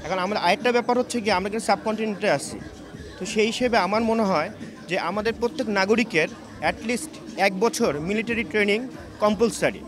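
A man's voice: high voice, a little fast. A man. He mixes English words into his interview, with a busy crowd behind. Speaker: interviewee, exhibition visitor. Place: busy indoor gallery. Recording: busy crowd noise around voice.

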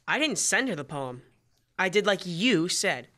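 A man's voice: sits high, very animated, unhurried, pushed and bright, full character voice. A teenage boy playing an earnest, glum son in an old-time radio comedy. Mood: earnest, puzzled. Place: school library room, stage microphone.

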